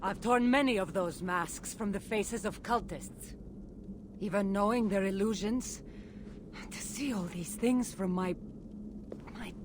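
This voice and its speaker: An actress playing a world-weary, self-assured ancient warrior with a measured, earnest delivery in a video game. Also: female voice, medium pitch, close to an everyday voice. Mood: somber. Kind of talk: video game dramatic dialogue. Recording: clear environmental game noise behind voice. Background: game ambience.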